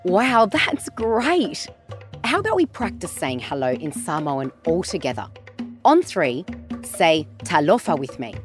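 A woman's voice: on the low side, very animated, a little slow, faint music behind. A woman hosting a children's podcast in a cheerful, sing-song presenting style.

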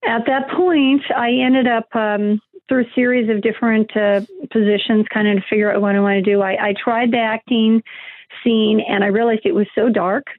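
A woman's voice: on the high side, level tone, moderate pace, no room sound. A middle-aged woman talking as an interview guest, rambling with many fillers and restarts. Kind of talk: conversational interview. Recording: tinny phone line.

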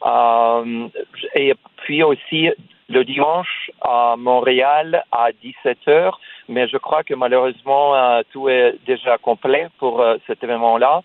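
A man's voice: medium pitch, steady tone, unhurried, dry recording. Russian-speaking man on a tinny phone line. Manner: hesitant, many fillers and restarts. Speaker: cellist guest. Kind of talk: radio interview by phone.

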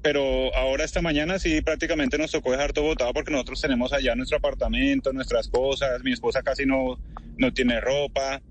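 Colombian man in his thirties, phone interview from a car, measured, filler-heavy, faint noise behind. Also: mid-range voice, fairly even intonation. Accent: Colombian Spanish, lightly worn. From Colombia (Barranquilla). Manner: thoughtful, many fillers like "pues" and "o sea". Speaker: interviewee, translator in Ukraine. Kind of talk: phone interview while driving.

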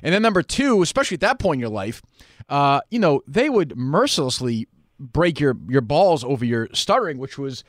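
Lively male podcast host. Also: on the high side, moderate pace, dry recording, clean studio sound. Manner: probing, asks follow-ups. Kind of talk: conversational interview.

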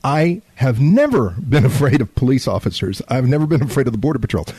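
A man from San Antonio with a Texan accent, hosting a conservative talk radio show, halting and repeating words with many fillers. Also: medium pitch, sing-song, dark tone, breathy edge, dry recording. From San Antonio, Texas.

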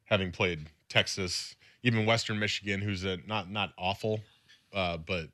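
A man co-hosting a sports radio show, chatting quickly and casually about college football with a lot of filler words. His voice sits a little low but sounds bright and slightly raspy. The close mic leaves the sound very dry. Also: steady tone, dry recording. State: slightly raspy from recent illness. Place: radio studio.

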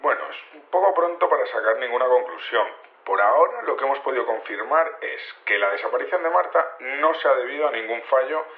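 An actor playing a matter-of-fact security investigator, explaining hesitantly over a phone-like radio link. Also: male voice, medium pitch, natural voice. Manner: hesitant, stumbling, earnest explaining. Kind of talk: news phone interview in audio fiction. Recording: roomy, narrow radio or phone line.

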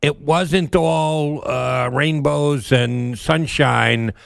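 Male sports talk host, creaky mid-range voice, dry studio sound, colloquial and opinionated. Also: fairly high pitch, very slow, dry recording. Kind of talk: conversational sports radio interviewing.

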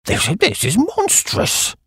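A man's voice: medium pitch, huge pitch swings, moderate pace, husky, no room sound, studio quality, heavily acted. A man voicing a haughty, easily affronted old English duke in an audiobook, stiff and formal. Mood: outraged. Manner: stiff, formal, coldly polite.

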